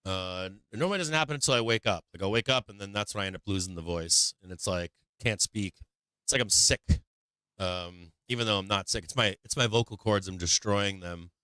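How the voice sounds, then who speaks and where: Hoarse middle-aged man, low dry voice. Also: a little fast, bright, forceful tone, no echo, poor audio. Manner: rambling, candid, swears casually. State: hoarse, losing his voice. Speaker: stock market livestream host. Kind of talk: casual market commentary.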